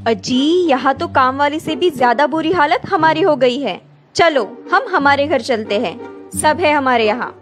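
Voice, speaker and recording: A woman's voice: high voice, animated, a little slow, soft background music. A woman voicing a lazy, short-tempered young married daughter in a cartoon, whiny and complaining.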